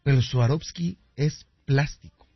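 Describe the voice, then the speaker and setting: A man's voice: medium pitch, a little slow, breathy edge, no room sound, muffled recording. Male radio co-host, dry close-miked banter. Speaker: sports presenter on a radio show. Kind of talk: casual joking studio banter. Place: radio studio. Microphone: close studio microphone.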